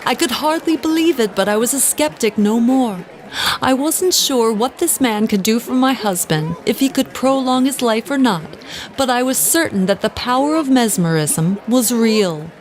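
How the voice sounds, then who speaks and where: A woman's voice: fairly high pitch, lively intonation, moderate pace, close to an everyday voice. An actress playing an earnest wife who narrates her own story in a formal, dramatic manner for an audio drama. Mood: awed recollection.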